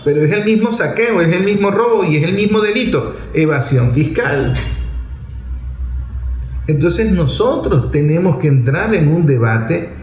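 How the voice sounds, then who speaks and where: A man's voice: fairly high pitch, a little mellow, echoey room, rough recording. A man with a Venezuelan accent giving unscripted political commentary in a blunt, colloquial, emphatic manner, often posing rhetorical questions.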